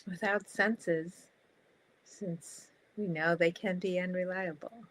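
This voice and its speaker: Woman doing guided meditation, really slow and calm, lowish voice, lots of space between phrases. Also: rough recording. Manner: soft, unhurried, with long pauses between short phrases.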